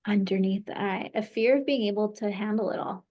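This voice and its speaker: A woman's voice: mid-range voice, brisk, dull audio. A woman practitioner guiding a client through a tapping session, encouraging her and leading phrases for her to repeat.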